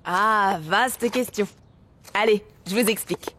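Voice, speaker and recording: A woman's voice: medium pitch, slow, a bit bright. A woman voicing a friendly, patient female dinosaur who explains things cheerily in a children's educational cartoon. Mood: patient, explaining.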